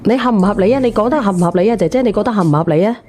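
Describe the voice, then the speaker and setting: A woman's voice: medium pitch, rapid-fire, dark tone. A woman, hosting a casual call-in talk show. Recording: clear noise and clicks behind.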